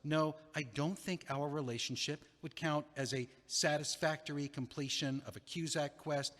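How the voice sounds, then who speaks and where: A man's voice: fairly high pitch, steady tone, moderate pace, fairly bright tone, long reverb tail, lightly acted. An actor playing a hesitant, self-deprecating man who rambles as he pictures his old home, in an audio play. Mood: rambling. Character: nervous, wry man locked out of his home.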